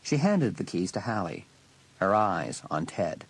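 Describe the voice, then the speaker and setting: Expressive male audiobook narrator. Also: deep voice, unhurried, husky. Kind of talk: scripted story narration.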